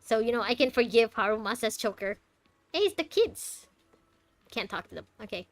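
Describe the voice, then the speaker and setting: A woman's voice: a little high, big pitch swings, moderate pace, no room sound. A woman giving casual, teasing commentary on a game playthrough and sometimes reading the on-screen text aloud. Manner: playful, teasing. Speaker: streamer playing a game blind. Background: game music.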